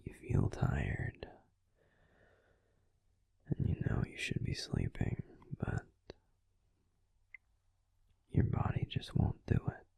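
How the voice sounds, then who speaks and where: A man voicing a caring young werewolf boyfriend in a gentle, breathy, unhurried whisper for a sleep-aid roleplay, close to the microphone. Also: no echo, natural voice. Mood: soothing, drowsy. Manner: gentle, soothing, unhurried reassurance with pauses. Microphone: close, dry microphone.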